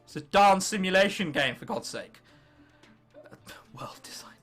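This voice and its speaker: A young man with an English accent commentates casually on a video game. His voice is high and bright and swings around a lot in pitch. He is irreverent and sarcastic, often talking to the game itself. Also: lively intonation, a little slow, soft background music. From England. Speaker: gaming video host.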